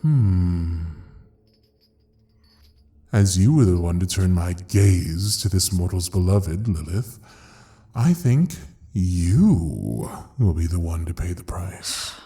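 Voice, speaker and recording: A deep, dark-voiced ancient demon lord for a fantasy audio drama. He draws his words out very slowly and theatrically, swinging widely in pitch as he teases and threatens. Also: sing-song, full character voice. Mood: menacing bargaining. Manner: theatrical, drawn-out, teasing, menacing. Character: ancient mocking male demon lord of hell, amused, cruel. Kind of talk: fantasy audio roleplay acting.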